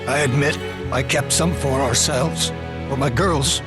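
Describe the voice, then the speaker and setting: Slow, grieving father played by a man. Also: medium pitch, lightly acted. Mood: mournful, bitter. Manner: halting, mournful. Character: grieving, broken father full of bitter regret. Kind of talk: video game cutscene acting. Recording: faint music behind the voice.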